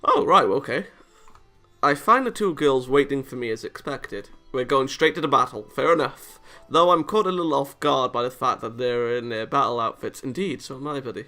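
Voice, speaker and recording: Bright-voiced man reading over music. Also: mid-range voice, normal speed. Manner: wry interjections between read lines. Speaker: let's player reading a visual novel aloud. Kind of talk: casual read-aloud commentary. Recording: music behind the voice. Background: game music.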